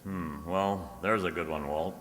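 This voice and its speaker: A man's voice: sits low, a little slow, a bit bright. A man playing a breezy, teasing ex-cop private detective in an old-time radio drama style, recorded in a very reverberant space. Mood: brisk, teasing. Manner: breezy, teasing, first-person hardboiled narration. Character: wisecracking ex-cop private detective, unflappable, flirtatious. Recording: very reverberant room or hall.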